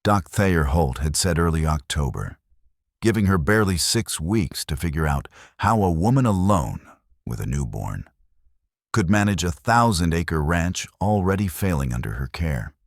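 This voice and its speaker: Very deep-voiced male Western storyteller. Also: big pitch swings, normal speed, no echo, studio quality. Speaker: storyteller, narrator. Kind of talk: scripted dramatic Western story narration.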